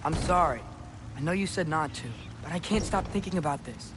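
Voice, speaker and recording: A man's voice: on the high side, a little fast, background noise, close to an everyday voice. An actor playing an earnest, restless teenage boy in a video game, with a light youthful voice and casual modern phrasing. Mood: earnest, frustrated. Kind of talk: video game dramatic acting.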